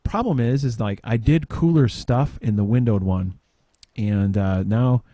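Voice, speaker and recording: A man giving an informal software demo, halting and tangential as he thinks aloud in casual talk. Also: fairly low pitch, dry recording, studio quality. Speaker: podcast panelist demonstrating software.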